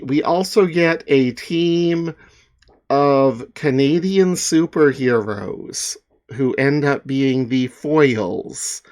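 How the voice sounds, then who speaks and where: Chatty man introducing his comic. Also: mid-range voice. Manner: chatty, joking, many fillers. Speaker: comics author and reader. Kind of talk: casual commentary before a dramatic reading.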